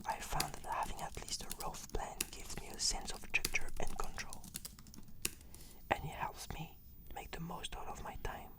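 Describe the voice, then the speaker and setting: A woman whispering breathily in a composed, reflective way, giving scripted answers as a client in an ASMR roleplay. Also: normal speed.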